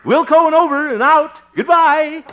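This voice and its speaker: A man's voice: very high-pitched, moderate pace. An American actor playing a genial but jittery, blustering middle-aged man in an old-time radio sitcom, with theatrical, flustered delivery. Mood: nervous bluster. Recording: muffled, noisy early 1950s radio recording.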